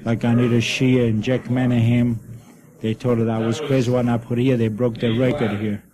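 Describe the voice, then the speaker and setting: A man from Italy with an Italian accent, speaking in halting English as a folk club owner in a radio interview. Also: medium pitch, flat delivery. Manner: halting, broken English phrasing. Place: busy folk club at night. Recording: noisy club tape with music and crowd. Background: live music and crowd chatter.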